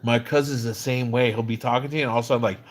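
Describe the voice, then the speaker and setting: A man's voice: medium pitch, fairly even intonation, rapid-fire. A man livestreaming in a casual, slangy way, rambling conversationally as he tells stories about people. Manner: acts out quoted dialogue when recounting. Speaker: live streamer. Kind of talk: casual livestream chat about another streamer.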